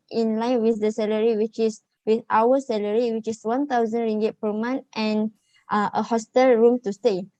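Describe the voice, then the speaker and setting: A woman in her twenties with a Malaysian accent, giving her views haltingly in a student group discussion. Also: on the high side, warm tone, no room sound. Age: 20s. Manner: hesitant, halting delivery.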